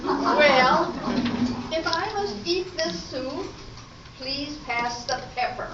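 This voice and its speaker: A woman playing a fussy, excitable diner in an amateur radio comedy play, with exaggerated theatrical delivery. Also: high voice, slow pace. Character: fussy, excitable woman diner complaining about her food. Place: live stage at an amateur arts festival. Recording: echoey hall from far off, muffled, noisy.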